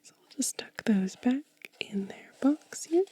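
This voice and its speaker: A woman hosting an ASMR video in a soft, breathy whisper, talking gently and unhurriedly, close to the microphone. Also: a little high, animated, measured pace. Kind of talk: soft-spoken whispered ASMR, show and tell.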